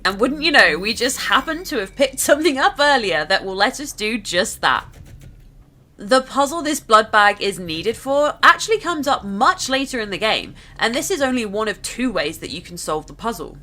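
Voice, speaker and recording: A woman's voice: fairly high pitch, very animated, normal speed, pushed and bright. A woman narrating a video game walkthrough in a casual, chatty, conversational way. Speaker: gameplay narrator.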